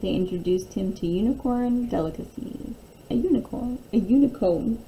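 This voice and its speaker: A woman reading a picture book aloud like a teacher, in an expressive, deliberate storytelling manner. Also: fairly high pitch, a little hiss or hum, low-quality recording.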